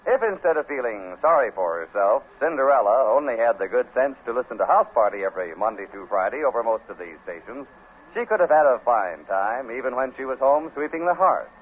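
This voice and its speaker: A man's voice: mid-range voice, expressive, brisk, breathy edge. A man working as a radio announcer, reading a scripted 1950s commercial. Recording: tinny vintage radio recording.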